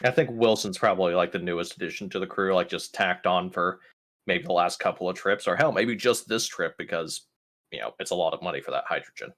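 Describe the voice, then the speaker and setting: A man's voice: low-pitched, brisk, a bit bright. A man playing a tabletop roleplaying game, chatting casually and colloquially with the group. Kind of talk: casual chat and in-character banter.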